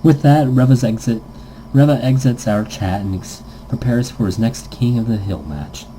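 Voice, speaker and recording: A man's voice: mid-range voice, normal speed, background noise. A man hosting a let's-play, reading a visual novel aloud and adding playful, goofy improvised asides in a casual gaming commentary style.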